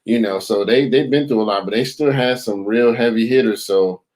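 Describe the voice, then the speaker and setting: A man co-hosting a hip hop podcast, talking in a relaxed, agreeable way with lots of fillers. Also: medium pitch, moderate pace. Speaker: podcast co-host. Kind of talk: casual chat.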